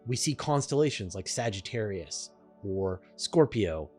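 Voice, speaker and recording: A man's voice: on the low side, animated, measured pace, mellow, breathy edge, soft background music. A man hosting a question-and-answer show, explaining things in an emphatic, informal, conversational way. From Canada.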